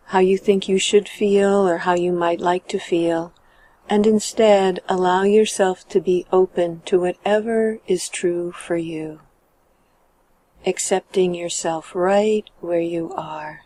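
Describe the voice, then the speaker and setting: Woman, slightly low pitch, warm relaxed tone, guided meditation narration, gentle measured phrasing. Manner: calm, measured, gentle phrasing with trailing sentence ends.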